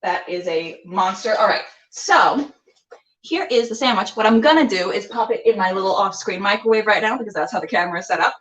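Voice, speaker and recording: A woman's voice: medium pitch, lively intonation, brisk, fairly bright tone. An American woman chatting casually as host of a cooking livestream, rambling playfully with silly exclamations and repeated phrases. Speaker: host of an online food and interview show. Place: indoors, in a room with a makeshift kitchen. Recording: roomy, distant, muffled dull sound.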